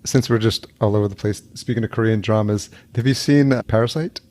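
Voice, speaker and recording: A man's voice: on the low side, fairly quick, darkish tone. A man born in El Salvador, hosting a podcast interview in a loose, rambling way and laughing often. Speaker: podcast host. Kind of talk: casual interview chat. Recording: remote call audio.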